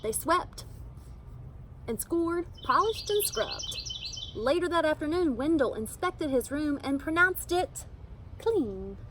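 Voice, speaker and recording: A woman reading a children's picture book aloud in an animated, emphatic storytelling manner. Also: high voice, slow pace, slight background noise. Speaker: storybook reader.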